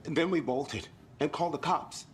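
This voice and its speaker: A man's voice: a little high, normal speed, slight background noise, lightly acted. An actor playing a blunt, rattled male tourist who witnessed an attack.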